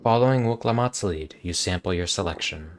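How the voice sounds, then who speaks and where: A man reading video game dialogue aloud in a monotonous voice, with casual asides. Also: low voice, fairly quick, a little hiss or hum.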